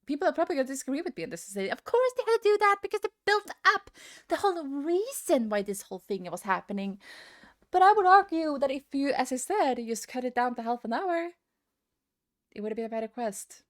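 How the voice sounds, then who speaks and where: A woman's voice: sits high, big pitch swings, fast talker. A woman giving a casual, rambling opinion rant as a gaming video creator, often hesitating and repeating herself.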